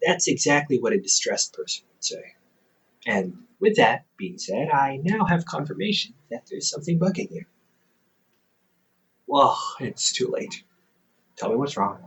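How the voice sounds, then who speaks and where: A young man performs a caring older brother of about twenty in an intimate audio roleplay. He speaks slowly in a medium male pitch with very lively intonation. His teasing is soft and stubborn, broken by hesitant pauses. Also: low-quality recording. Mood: affectionate, concerned. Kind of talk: intimate audio roleplay, one-sided conversation.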